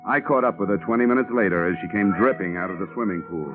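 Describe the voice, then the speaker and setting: An actor playing a wry, wisecracking insurance investigator who narrates a 1950s radio detective drama. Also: male voice, on the low side, fast, natural voice. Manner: wry, wisecracking, hard-boiled. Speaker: lead and narrator. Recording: tinny old radio broadcast with music.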